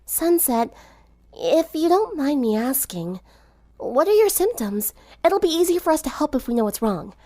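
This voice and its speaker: A woman's voice: sits high, big pitch swings, moderate pace, warm tone, pitched above the performer's own voice. A woman voicing an earnest, inquisitive teenage girl who speaks politely and thoughtfully in a story reading. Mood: concerned.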